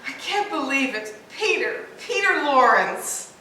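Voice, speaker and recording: A woman's voice: high voice, sing-song, a little slow, sharp tone, slight background noise, heavily acted. A woman playing a dreamy, smitten married woman in a stage comedy rehearsal, gushing and emphatic. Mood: amazed. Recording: very echoey room, distant microphone.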